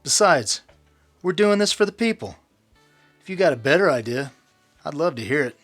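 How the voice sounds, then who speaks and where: An actor playing a confident, smooth-talking Old West gambler in a radio western, persuasive and a little needling. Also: male voice, a little high, very animated, normal speed, soft background music.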